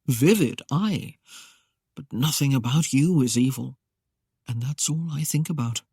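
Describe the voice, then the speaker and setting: A narrator lightly voicing a roguish young man who teases with mock innocence. The voice is a little husky and sits in a mid pitch, read close and dry. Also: unhurried, mellow, no room sound. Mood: teasing. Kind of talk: audiobook character voice.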